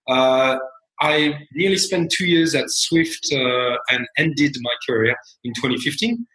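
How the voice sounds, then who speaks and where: Man, French accent, forties, measured and reflective, roomy recording. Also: medium pitch, expressive, bright, forceful tone. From France. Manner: measured, reflective, many fillers and restarts. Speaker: fintech founder guest. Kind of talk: interview conversation.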